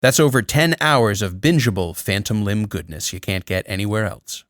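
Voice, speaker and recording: A man's voice: on the low side, normal speed, a bit bright, no room sound, studio quality. A man reading a scripted promotional message as a podcast host.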